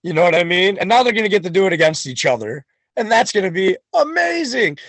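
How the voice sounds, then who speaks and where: A man's voice: high-pitched, sing-song, quick pace, a bit bright, no room sound. A man talking as a wrestling guest on a podcast, boastful and hyped, full of swagger. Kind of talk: podcast chat.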